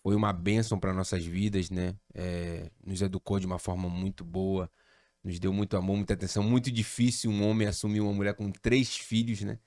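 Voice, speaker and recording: A man's voice: sits low, fairly quick, no room sound, studio quality. Man from Rio telling a story. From Rio de Janeiro, Brazil. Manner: animated storyteller, relaxed slang. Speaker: pastor, podcast guest. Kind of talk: casual storytelling chat.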